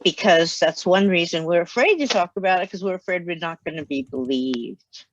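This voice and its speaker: An older woman, a guest on a video call from her home study, speaking gently and plainly about hard memories. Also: lowish voice, expressive, moderate pace, a little hiss or hum. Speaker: guest author. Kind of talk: interview over video call. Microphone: video call, headset. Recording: dull, dry video call audio.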